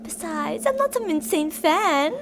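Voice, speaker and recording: A woman's voice: extremely high pitch, sing-song, unhurried, slight background noise, full character voice. A woman playing an obsessive, possessive female fan turned kidnapper in an intimate, dramatic ASMR roleplay monologue. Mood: defensive, insistent. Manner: intimate, swinging between insistent pleading and soft whispery menace.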